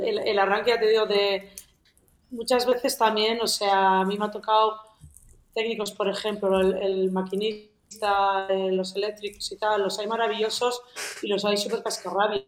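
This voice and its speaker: A woman's voice: mid-range voice, little pitch movement, unhurried, reverberant. A woman who works as an assistant director, talking emphatically and insistently in a casual panel chat.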